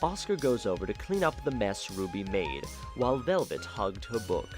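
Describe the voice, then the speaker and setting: A man's voice: on the low side, very animated, moderate pace, music in the background. A man narrating a fan audio drama in a theatrical, playful style with wry asides.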